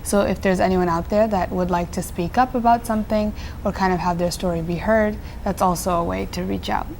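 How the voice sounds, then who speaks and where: A woman's voice: mid-range voice, steady tone, brisk, creaky, a little mellow, slight background noise. A teenage girl from Nepal, a nonprofit founder answering interview questions earnestly, with frequent fillers and restarts. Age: teens.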